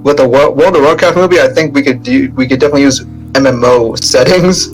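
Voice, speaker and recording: A man's voice: medium pitch, fast talker, over music, low-quality recording. A man co-hosting a podcast, chatting casually and jokingly with friends. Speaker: podcast co-host. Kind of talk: casual, joking panel chat.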